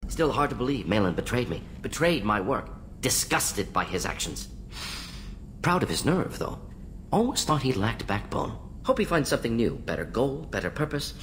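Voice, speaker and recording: A man's voice: medium pitch, big pitch swings, moderate pace, fairly bright tone, a little hiss or hum. A man playing an aging alien scientist and professor in a video game, speaking in clipped, telegraphic phrases that drop small words. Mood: wistful. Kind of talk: video game cutscene acting. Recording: echoey hall reverb under background music.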